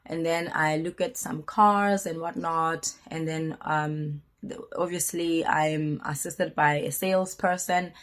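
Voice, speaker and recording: A woman in her late twenties talks to her viewers in a candid, chatty vlog. Her voice is low and a bit husky from a cold. She pauses and hedges often as she tells her story. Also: fairly even intonation. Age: late 20s. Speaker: vlogger. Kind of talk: casual personal vlog.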